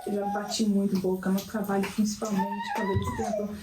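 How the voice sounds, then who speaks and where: A woman from Sweden, Portuguese her first language, telling her story as a podcast guest in casual chat, animated and emphatic. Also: medium pitch, brisk. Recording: echoey room with faint background noise.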